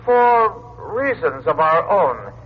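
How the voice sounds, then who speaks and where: Polite bossy alien in an old radio play, high voice, formal diction, muffled noisy recording. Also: male voice, very animated. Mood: formal, controlling. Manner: formal, measured, pedantic diction. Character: courteous, imperious disembodied male alien from a distant galaxy. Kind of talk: vintage radio drama acting.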